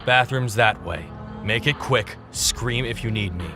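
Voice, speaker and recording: An actor playing a gruff, guarded fighter in an audio drama, a man who talks in curt, deadpan lines. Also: mid-range voice, a little slow, over music, close to an everyday voice. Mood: curt, impatient.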